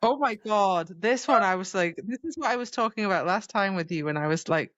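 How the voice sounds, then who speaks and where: A woman co-hosting a podcast, chatting casually about a book with excitable, exclamatory reactions. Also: medium pitch, fairly quick, dry recording. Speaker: podcast co-host. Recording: slightly dull, muffled sound.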